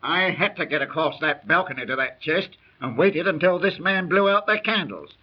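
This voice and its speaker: Grumbly old seaman burglar, rough slangy talk, highish voice, muffled 30s radio recording. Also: lively intonation, moderate pace. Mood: resigned grumbling. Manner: colloquial rough sailor speech, grumbling. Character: gruff, surly old sailor turned burglar, grumbling and unlucky. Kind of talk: 1930s radio acting. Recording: muffled, band-limited 1930s radio recording.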